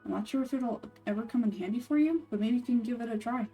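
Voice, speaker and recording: A composed young scholar-ranger from a video game, a man voiced by a woman, with a mellow tone and a dry, sardonic edge. He explains things in measured, matter-of-fact phrases, a little far from the mic over background music. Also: a little high, close to an everyday voice. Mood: calm, explanatory.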